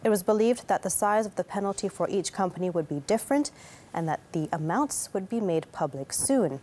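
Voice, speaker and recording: A woman's voice: medium pitch, lively intonation, brisk, mellow. A woman reading scripted news as an anchor.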